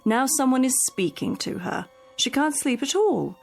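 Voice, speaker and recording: A woman's voice: high voice, sing-song, moderate pace, creaky, faint music behind, heavily acted. A woman playing a protective private nurse in a radio drama, firm and solicitous in manner. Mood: firm, indignant.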